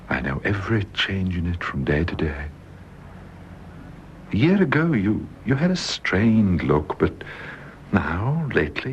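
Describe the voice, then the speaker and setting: A British actor in a radio drama playing a bedridden husband, devoted, wistful and resigned, speaking earnestly and haltingly. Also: male voice, on the low side. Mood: adoring, wistful. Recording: dull lo-fi radio drama with hiss.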